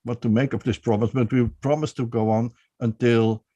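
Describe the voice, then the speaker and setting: A man's voice: mid-range voice, steady tone, brisk, mellow, no echo. A man hosting an online talk show, hesitant, with many restarts and fillers. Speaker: podcast host.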